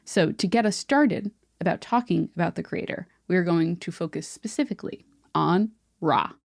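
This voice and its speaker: Podcast co-host, woman, low-pitched, casual explainer, dry studio sound. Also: normal speed, gravelly, darkish tone, dry recording. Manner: chatty, explanatory, with filler "uh" and "like". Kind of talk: casual chat, explaining mythology.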